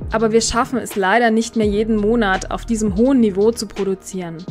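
A woman delivering a scripted introduction as a podcast host. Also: a little high.

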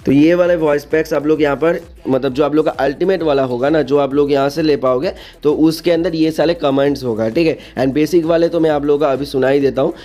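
A man's voice: a little high, steady tone, fairly quick, few pauses, dark tone, soft background music. A man hosting a gaming video in a casual explainer style, repeating himself with frequent check-in tags and mixing in English words. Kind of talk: casual explainer narration over game screens.

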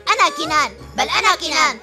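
A woman voicing an excitable young boy in a dubbed cartoon, brash and quick to shout. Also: sits high. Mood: indignant. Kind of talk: Arabic cartoon dubbing. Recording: studio dub over loud music.

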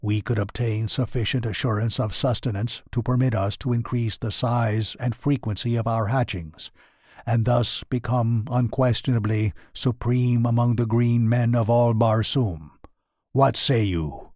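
A man's voice: medium pitch, steady tone, unhurried, darkish tone, no echo, studio quality, natural voice. A man voicing a proud alien warrior chieftain addressing a council formally. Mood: proposing.

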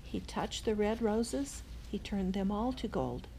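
A woman's voice: medium pitch, lively intonation, measured pace, warm tone. An older woman reading a children's storybook aloud as a grandmother, expressively, with exclaimed asides. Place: large indoor lodge room with fireplace. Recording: clearly noisy room, ambient sound.

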